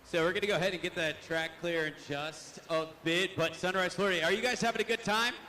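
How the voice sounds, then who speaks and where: A man's voice: high-pitched, fairly even intonation, fast talker, pushed and bright, slight background noise. A male arena announcer losing his voice, calling a live monster truck event and pumping up the crowd. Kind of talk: live play-by-play commentary. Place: indoor arena.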